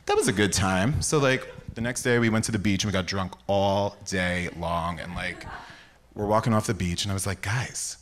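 An American man, a guest on a live podcast, telling casual comic stories in an animated, slangy way. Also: low-pitched, moderate pace. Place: theater stage before live audience. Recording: noisy live stage recording, clicks.

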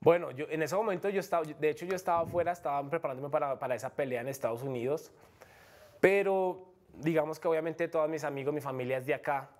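Earnest young Colombian fighter, frank talk. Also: male voice, on the high side, fast talker, a little mellow. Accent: Bogotá Colombian Spanish. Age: young adult. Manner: earnest, frank, many restarts and fillers. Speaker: MMA fighter guest. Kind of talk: casual interview talk. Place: TV studio set.

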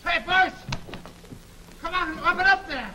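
An actor playing a frantic, indignant, hapless gangster hollering in a 1940s film serial. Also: male voice, unhurried. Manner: shrill hollering. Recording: echoey 1940 film soundtrack.

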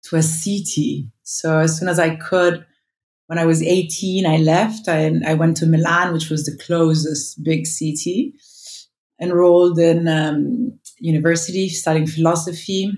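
A woman's voice: low-pitched, fairly even intonation, unhurried, a little mellow, roomy sound. A woman in her thirties with an Italian accent, a guest chatting reflectively from home over a video call, with many fillers and restarts. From northern Italy. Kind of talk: casual interview chat. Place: home room. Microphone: earbuds on video call.

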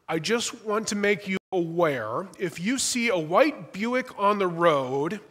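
A man's voice: high-pitched, pushed and bright. Young male pastor in a reverberant church. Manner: conversational, light humor, frequent small restarts. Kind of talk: sermon. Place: church sanctuary, large indoor room.

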